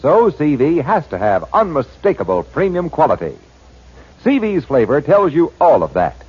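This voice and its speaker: A man's voice: on the high side, huge pitch swings, normal speed, slightly rough. A man announcing in 1940s radio style, reading sponsor copy and story recaps as scripted narration. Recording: dull 1940s radio recording with music.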